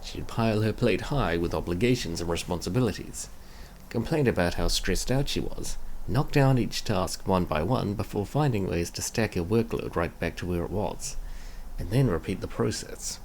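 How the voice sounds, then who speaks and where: A man with a fairly low, gravelly voice reads a comic aloud as host and narrator. His delivery is casual and swings around in pitch, over faint noise. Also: lively intonation, moderate pace, darkish tone. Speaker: comic dub reader and channel host. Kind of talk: casual video intro and scripted first-person narration.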